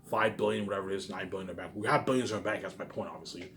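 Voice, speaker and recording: A man vlogging in a casual, rambling monologue, boastful and repetitive, swinging between excited bursts and muttered asides. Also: sits low, a little fast, a little hiss or hum. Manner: repetitive, with emphatic catchphrases; boastful, self-assured.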